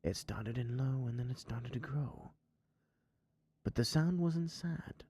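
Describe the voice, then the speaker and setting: A man narrating a rhyming storybook theatrically, sometimes dropping into a hush. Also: medium pitch, slow pace, slight background noise. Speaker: story reader.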